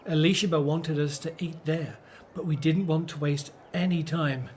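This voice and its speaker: Male actor as warm older brother, fairly high voice, grateful, radio drama. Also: fairly even intonation, normal speed, a little hiss or hum, natural voice. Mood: grateful, eager.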